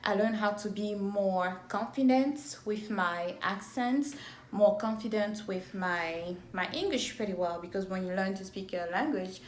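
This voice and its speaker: A woman in her thirties from Cameroon whose first language is French. She speaks English with a strong Cameroonian French accent, slowly and deliberately, in a casual vlog recorded on her phone. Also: mid-range voice, a little hiss or hum. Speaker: event planner and entrepreneur. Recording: echoey room on a phone.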